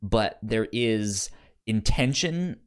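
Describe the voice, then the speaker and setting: A man chats casually as a podcast co-host about something he has read. His delivery is slow and halting, with sounds and words often repeated. His pitch swings in a lively, varied way. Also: smooth. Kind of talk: casual book discussion.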